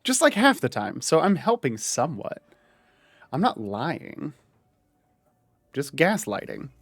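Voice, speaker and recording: Streamer type, man, lively giggly delivery, dry close sound. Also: fairly high pitch, slow, gravelly, no echo. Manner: joking, laughs often, talks in restarts. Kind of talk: casual gaming chat.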